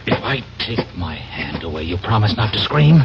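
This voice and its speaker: A man's voice: fairly low pitch, big pitch swings, moderate pace, creaky. An indignant young American, flustered and prone to stammering as he protests. His voice leaps up and down. The sound is a dull old radio broadcast with faint noise. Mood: suspicious, defiant. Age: young adult. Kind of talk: 1970s radio drama acting.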